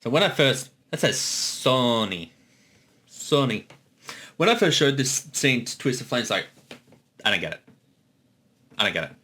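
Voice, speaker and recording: Animated, bright-voiced man doing commentary. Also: mid-range voice, unhurried, pauses often. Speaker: series creator giving commentary. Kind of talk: casual behind-the-scenes commentary.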